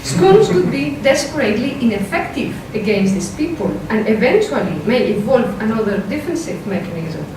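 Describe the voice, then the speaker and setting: A woman philosopher with a strong Spanish accent giving a workshop talk, careful and measured, with hesitant fillers when she speaks off the script. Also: a little high, very animated. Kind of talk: academic lecture, partly read from a script. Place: lecture room. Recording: echoey lecture hall, mic from a distance, noisy.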